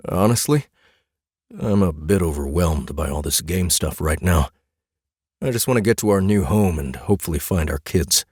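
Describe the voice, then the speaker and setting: Man as mild middle-aged husband, audiobook dialogue, low pitch, hesitant, earnest, befuddled, dry close recording. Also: animated, moderate pace, darkish tone, husky, no echo. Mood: overwhelmed, apologetic. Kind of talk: dramatic audiobook character dialogue.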